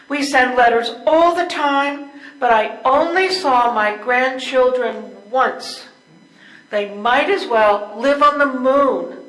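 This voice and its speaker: An older woman playing a lonely, neglected wife in an amateur stage reading, plaintive and deliberate. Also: sits high, fairly bright tone. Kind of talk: amateur readers theater play. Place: indoor live stage reading. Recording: echoey room.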